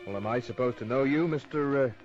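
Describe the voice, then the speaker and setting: An actor playing a dry, world-weary private detective in an old radio crime drama, narrating and wisecracking. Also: male voice, mid-range voice, fast, lightly acted. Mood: wry, businesslike. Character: hard-boiled, wisecracking male private detective. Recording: muffled old radio recording with music.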